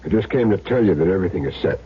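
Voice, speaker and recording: A man's voice: low-pitched, lively intonation, very fast, breathy edge, exaggerated voice. An actor playing a cool, terse, matter-of-fact hitman in a 1970s radio drama. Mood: cool, firm. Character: Jim Derry, cool laconic hitman back in town. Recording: dull lo-fi old radio recording.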